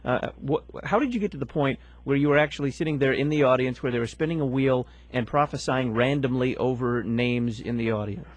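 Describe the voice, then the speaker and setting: A man's voice: mid-range voice, a little fast, few pauses, no echo. A male host interviewing a guest, asking probing questions and pressing for more detail.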